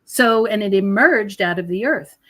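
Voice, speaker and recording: A woman's voice: medium pitch, animated, normal speed, fairly bright tone. An American woman speaking conversationally as a podcast guest, explaining her ideas with emphasis. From United States. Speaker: podcast guest, researcher of prophecy and UFOs.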